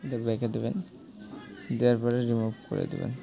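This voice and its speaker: A man's voice: mid-range voice, monotone, a little fast, creaky, dark tone, faint music behind. A man who teaches cartoon animation talks viewers through a drawing step by step, repeating himself and checking that they follow. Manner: repetitive, coaxing, addresses viewers directly. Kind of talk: screen-recorded drawing lesson.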